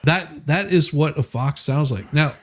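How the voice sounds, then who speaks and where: A man chatting casually as a livestream co-host, telling stories in a halting way with repeated words. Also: a little high, a bit bright.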